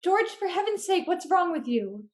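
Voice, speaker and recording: A woman's voice: very high voice, sing-song, moderate pace. An actress playing a patient, worried housewife and mother in an old-time radio play. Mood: upset.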